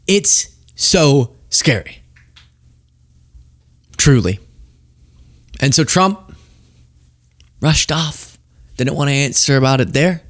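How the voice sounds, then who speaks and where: A man's voice: medium pitch, expressive, slow, long pauses, a bit bright, no echo, studio quality. Sarcastic male political commentator. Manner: sarcastic, laughs often.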